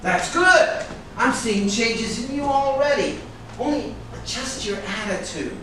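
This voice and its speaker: Man playing a high-voiced, pushy film agent, echoey stage. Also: expressive, measured pace, bright, forceful tone, exaggerated voice. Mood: firm, reassuring. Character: brash, pushy male film agent, excitable and scolding. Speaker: actor in a staged reading. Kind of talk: theatrical staged reading. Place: indoor room at a live staged reading. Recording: echoey room, distant mic, background hiss.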